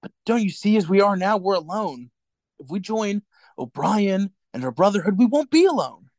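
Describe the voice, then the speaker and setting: A man acts an anxious man in forbidden love for a radio play. His voice sits a little high and is recorded dry and close, and he speaks earnestly, with hesitation. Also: sing-song, moderate pace, darkish tone, dry recording. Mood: urgent. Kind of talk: radio drama acting.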